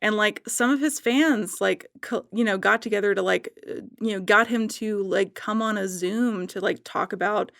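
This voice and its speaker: A woman chatting casually as a podcast guest, telling stories in an animated, self-mocking way full of filler "like"s. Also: medium pitch, normal speed, gravelly, few pauses, no room sound, studio quality. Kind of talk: casual chat, anecdotes.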